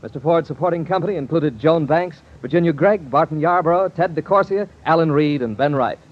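High-pitched vintage American radio announcer man. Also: a little fast. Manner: dramatic, formal promotional delivery. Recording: dull vintage radio recording, music behind.

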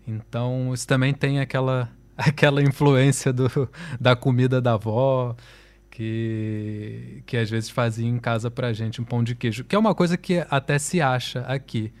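A Brazilian man from Rio de Janeiro with a softened Carioca accent, a musician chatting as a guest and laughing easily. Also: medium pitch, steady tone, moderate pace. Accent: softened Carioca Brazilian Portuguese. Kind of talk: relaxed interview chat.

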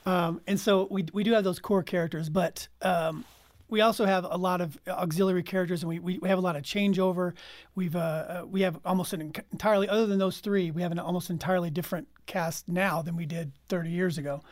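A woman's voice: lowish voice, steady tone, fast, darkish tone, dry recording. A woman talking as a guest in a casual panel discussion, explaining things conversationally. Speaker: guest from an audio drama team.

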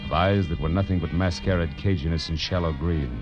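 An actor playing a wry, world-weary American private detective in a terse, sardonic 1940s radio noir style. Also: male voice, low-pitched, fairly quick, close to an everyday voice. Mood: wry narrating. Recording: muffled old radio recording, music behind.

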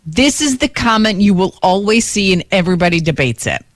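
Brief: female co-host, lively teasing banter, dry close mic, faint music underneath. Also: medium pitch, a little fast, no room sound. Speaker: radio morning show co-host. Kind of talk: casual morning radio chat.

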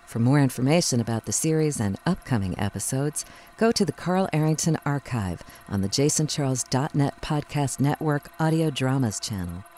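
A man's voice: medium pitch, animated, normal speed, darkish tone, slight background noise. A man reading a scripted podcast announcement. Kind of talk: scripted narration.

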